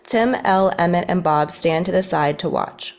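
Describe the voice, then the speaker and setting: Woman narrator, somewhat low register, reading stage directions from a script at a table read. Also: normal speed, a little mellow.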